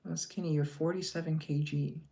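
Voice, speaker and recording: Man, live-stream host, teasing and jokey, fairly high voice, gravelly texture, phone mic. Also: flat delivery, a little fast, warm tone. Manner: teasing, joking, chatty. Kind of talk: casual live chat, reading viewer comments.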